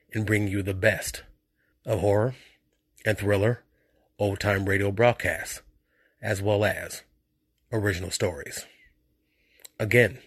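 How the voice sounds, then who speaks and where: A man's voice: fairly low pitch, fairly bright tone, no echo. A man hosting a podcast, reading a scripted promotional spot. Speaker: podcast host.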